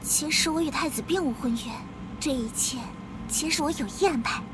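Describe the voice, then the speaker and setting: A woman plays a spirited young noblewoman with a high voice who speaks willfully and affectionately. Clear background noise runs under her. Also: moderate pace, close to an everyday voice. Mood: pleading. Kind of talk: animated drama dub.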